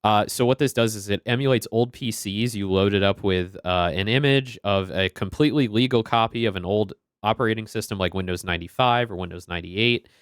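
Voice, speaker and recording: A man's voice: on the low side, brisk, few pauses, clear, resonant tone, no room sound, studio quality. A man streaming a retro game, chatting casually and greeting viewers by name.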